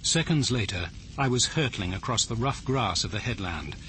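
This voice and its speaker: Grave detective, animated pitch. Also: male voice, a little hiss or hum. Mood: grave, tense. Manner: measured, literary, understated. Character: grave, measured male detective narrating his own ordeal. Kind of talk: first-person radio drama narration and dialogue.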